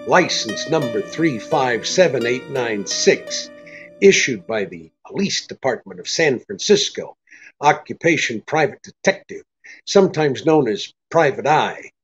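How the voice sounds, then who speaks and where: An actor playing a hard-boiled, wry private detective with laconic, slangy delivery in a 1940s-style radio drama. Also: male voice, mid-range voice, very animated, measured pace, highs cut off, faint music behind. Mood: wry, matter-of-fact.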